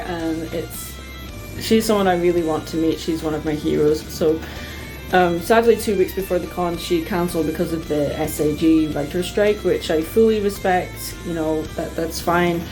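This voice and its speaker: A woman telling personal stories to her viewers in a casual, chatty, expressive way, like an enthusiastic fan. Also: lowish voice, moderate pace, music in the background, low-quality recording. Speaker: vlogger.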